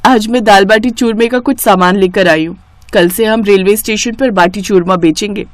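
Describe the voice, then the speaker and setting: A woman's voice: medium pitch, moderate pace, dry recording, close to an everyday voice. A woman voicing a poor hardworking mother and cook in a cartoon story, humble, caring and earnest. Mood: caring, cheerful.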